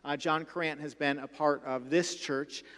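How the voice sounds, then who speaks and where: A male pastor introducing a guest speaker in a measured way, pausing on "uh". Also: fairly high pitch, fairly even intonation, a bit bright. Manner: measured, with "uh" fillers. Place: church sanctuary. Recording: long hall reverb.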